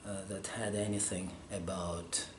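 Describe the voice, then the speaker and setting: Slow, halting man in an echoey room. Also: low-pitched, little pitch movement. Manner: halting, pausing often to find words. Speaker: scholar interviewed about manuscript studies. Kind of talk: interview answers. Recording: roomy echo, faint background hum.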